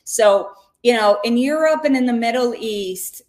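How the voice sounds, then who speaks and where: A woman's voice: on the high side, unhurried, a little mellow. A woman from Israel coaching on nutrition in a casual livestream, chatty, with lots of fillers and restarts.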